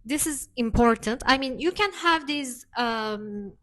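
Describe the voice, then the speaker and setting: A woman's voice: high voice, expressive, a little slow, a bit bright. A woman from Turkey, co-hosting a casual chat and explaining things with frequent hesitations. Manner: explanatory, many hesitation fillers. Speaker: co-host.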